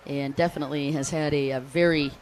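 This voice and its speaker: A woman's voice: sits low, steady tone, brisk, a little hiss or hum. American woman commentating a jet ski race. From United States. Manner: chatty, shouts out to fans between race updates. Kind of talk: live sports commentary.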